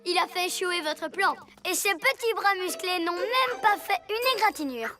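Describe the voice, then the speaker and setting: Bratty boy ninja baddie, high voice, mocking and bossy, cartoon with loud music. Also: animated, normal speed. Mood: mocking, gloating. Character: cocky, taunting little boy ninja villain. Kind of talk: animated cartoon dub acting.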